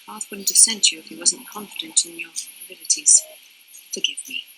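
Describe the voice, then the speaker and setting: An actress playing a proud young Jedi commander in a video game, imperious, clipped and formal in manner. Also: female voice, on the low side, a little fast, sharp tone, a little hiss or hum, poor audio. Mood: relieved, earnest. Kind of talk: dramatic video game voice acting.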